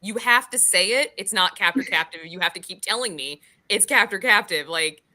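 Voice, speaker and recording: A woman's voice: mid-range voice, animated, moderate pace, bright, forceful tone. A woman hosting a casual book discussion, talking in a frank, informal way with some swearing. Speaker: book club host.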